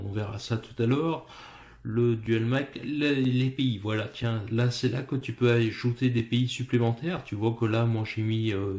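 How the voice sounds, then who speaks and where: A man's voice: medium pitch, darkish tone. A man with a French accent casually walking the viewer through a mobile app's settings, speaking informally with frequent hesitations. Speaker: app tutorial presenter. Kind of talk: casual screen walkthrough.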